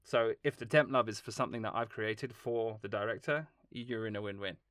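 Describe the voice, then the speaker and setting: Animated male film composer, dry sound. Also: fairly low pitch, fairly quick, dry recording. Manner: animated, rambling storytelling with laughs. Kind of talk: casual interview chat.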